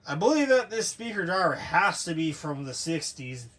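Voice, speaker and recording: Man, somewhat high pitch, hobbyist video host, casual unscripted overview, rambling halting delivery. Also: big pitch swings, fairly bright tone.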